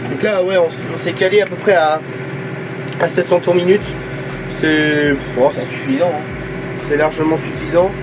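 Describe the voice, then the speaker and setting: A man's voice: on the high side, steady tone, moderate pace, very noisy. A young man with a cold and a stuffy nose, chatting casually and hesitantly as he films his farm work from a tractor. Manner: chatty, lots of hesitations and repeats. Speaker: farm vlogger. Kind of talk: casual running commentary while working. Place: tractor cab and farmyard outdoors.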